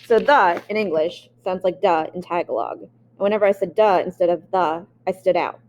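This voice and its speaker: A woman's voice: on the low side, brisk, darkish tone, a little hiss or hum. A woman reading a memoir aloud. She reads expressively and sometimes stops to restart a word. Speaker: audiobook reader.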